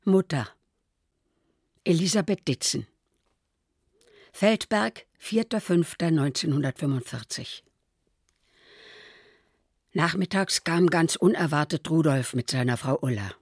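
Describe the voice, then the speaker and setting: A woman reading as an elderly mother keeping a wartime diary, calm and measured, in a documentary. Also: low-pitched, breathy edge, dry recording, studio quality, heavily acted. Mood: sober.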